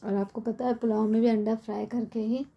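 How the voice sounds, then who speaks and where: A middle-aged woman with a hoarse, cold-worn voice, chatting casually as she explains a home recipe step by step in her kitchen. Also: fairly high pitch, little pitch movement, fairly quick, muffled recording. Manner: chatty, explains step by step with frequent tag questions. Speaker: cooking channel host. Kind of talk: casual cooking tutorial.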